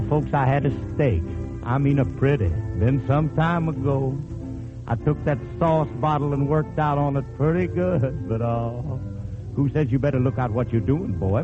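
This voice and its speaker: Slow-drawling man, half-spoken comic lament over music. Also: on the high side. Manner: drawling, folksy, addresses the audience. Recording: dull old radio recording with music. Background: music accompaniment.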